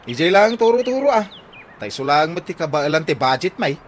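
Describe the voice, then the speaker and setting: A man's voice: a little high, big pitch swings, a little fast, slight background noise. A man playing a chummy, teasing, thrifty office buddy in a comedy radio drama.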